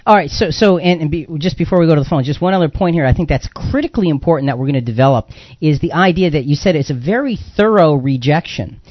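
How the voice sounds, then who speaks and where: A man's voice: fairly high pitch, fast talker, few pauses, dry recording. A man hosting a religious radio call-in show, talking through points in a conversational way. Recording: dull, band-limited radio audio.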